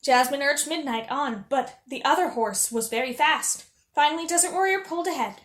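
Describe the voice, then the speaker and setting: A woman tells a children's story in a high-pitched voice. Her reading is expressive and animated, like a princess character at story time. The room adds noticeable echo. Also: normal speed. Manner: animated, acting out dialogue.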